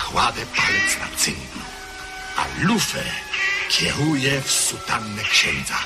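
A sneering commissar. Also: male voice, medium pitch, heavily acted. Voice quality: sneering, theatrical. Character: menacing mocking male communist commissar. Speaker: communist commissar. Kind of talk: 1970s radio drama acting.

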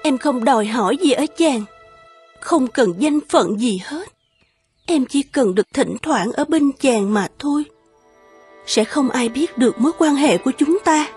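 A woman voicing a gentle young woman in love in an animated folktale, deferential and yearning. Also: high voice, a little slow, faint music behind. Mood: pleading.

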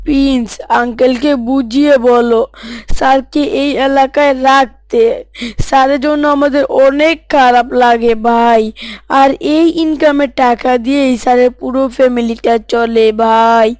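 A woman's voice: high voice, steady tone, unhurried, a little mellow, clear, resonant tone, no echo. A woman voicing an earnest, pious, sorrowful schoolboy in a scripted moral story drama, pleading and preachy.

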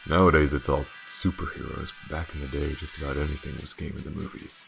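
A man playing a world-weary but tender detective in an intimate audio roleplay, with halting, shy, stammering speech. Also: little pitch movement, music in the background, heavily acted. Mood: teasing, nostalgic. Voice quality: very deep, gravelly, husky. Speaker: voice actor. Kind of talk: audio roleplay, intimate acting addressed to the listener.

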